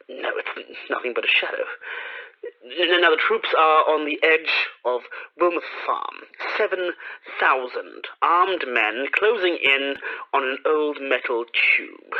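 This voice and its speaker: A man playing an army captain reporting from the battlefield in the style of a 1930s radio drama. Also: medium pitch. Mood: uneasy, alarmed. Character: confident army signal corps captain reporting from battlefield, growing uneasy. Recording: muffled lo-fi radio sound.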